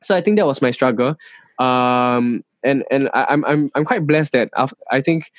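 A man's voice: medium pitch, normal speed, dry recording. A young man with a Singaporean English accent, talking as an interview guest in a hesitant way, with frequent restarts and fillers. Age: young adult. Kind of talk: casual interview chat.

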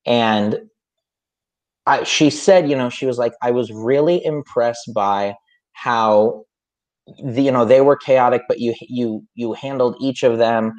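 A man's voice: medium pitch, a little slow, darkish tone. A man who teaches music, chatting casually on a podcast with a slightly nasal voice and a hesitant, rambling way of talking full of fillers and restarts.

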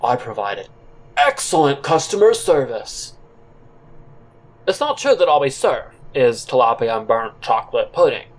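A man playing a disgruntled former fast-food worker, rambling with halting, deadpan earnestness in an audio drama monologue. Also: medium pitch. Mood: earnest, aggrieved. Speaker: narrator and character in a solo audio drama.

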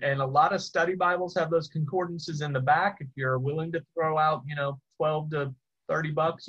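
A man teaching a Bible class in a relaxed, conversational way, with asides to his students. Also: medium pitch, highs cut off. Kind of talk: informal teaching.

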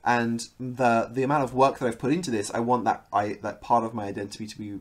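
A man hosts a casual interview with a voice of average pitch. He reflects aloud in a measured way and sometimes hesitates as he works through his thoughts. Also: flat delivery. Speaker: podcast host.

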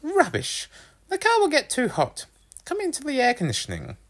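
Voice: high voice, wildly swooping pitch, moderate pace, a bit bright, voice pushed up, full character voice. A narrator voicing a cheerful, teasing mum in a storybook, playful and exclaiming, firm when cross. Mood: brisk.

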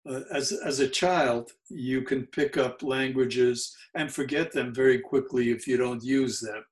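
An older man in his seventies with a New York accent, Yiddish being his first language. He speaks slowly and mellowly at a middle pitch, pausing often with fillers as he looks back on his youth. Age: 70s. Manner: mellow, unhurried, halting with frequent fillers. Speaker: interviewee. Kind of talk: oral history recollection.

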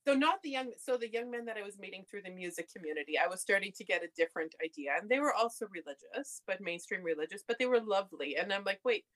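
A young adult woman from Canada, telling her story candidly in a relaxed conversation. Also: mid-range voice, quick pace, hardly any pauses. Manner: candid storytelling, laughs often. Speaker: podcast guest. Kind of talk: casual conversation.

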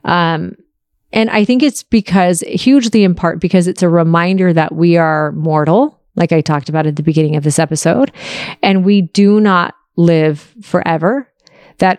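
A woman in her early forties speaks in a slightly low voice, recorded dry with little room sound. She talks casually and openly as a solo host, reflecting on personal things in a confessional, unguarded way. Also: darkish tone, no room sound. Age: early 40s. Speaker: podcast host answering listener questions. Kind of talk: candid, casual solo talk to listeners.

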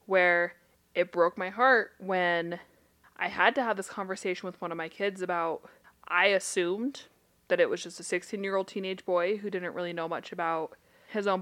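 A woman's voice: lowish voice, a bit bright. A woman who is a licensed therapist and a podcast co-host, talking in a blunt, casual way with swearing and frequent laughter. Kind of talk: casual chat.

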